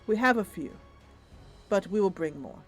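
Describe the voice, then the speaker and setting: A woman's voice: medium pitch, lively intonation, normal speed, soft background music, natural voice. A woman voicing a solemn, principled female adventurer of the wilds, speaking formally and earnestly in a fantasy game roleplay. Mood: solemn, firm.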